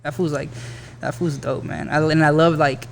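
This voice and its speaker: 30s guy rapper on a podcast, kinda high voice, lots of "like" and cussing, low bar noise. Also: fairly even intonation, moderate pace, vocal fry. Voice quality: high-pitched voice. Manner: laid-back, swears often, fills with "like". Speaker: rapper guest. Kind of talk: casual podcast chat.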